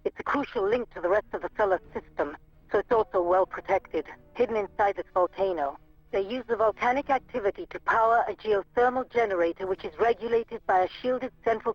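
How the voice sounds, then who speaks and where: A man playing an earnest, defiant young defector pilot in a video game cutscene, speaking in plain military terms. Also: sits high. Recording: muffled lo-fi game audio, music behind.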